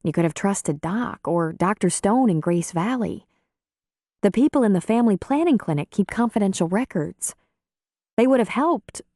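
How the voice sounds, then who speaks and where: A woman reading the part of a caring, level-headed midwife in an audiobook, gentle, earnest and concerned. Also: medium pitch, lively intonation, moderate pace, no echo, studio quality, lightly acted. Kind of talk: audiobook character dialogue.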